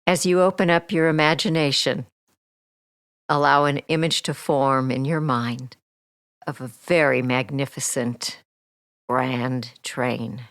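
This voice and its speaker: A woman with a very deep voice guides a relaxation meditation. Her delivery is slow, gentle and soothing, with deliberate pauses and soft, near-whispered phrase endings. The sound is close and dry. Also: fairly even intonation, no room sound. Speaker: hypnotherapist guiding a meditation.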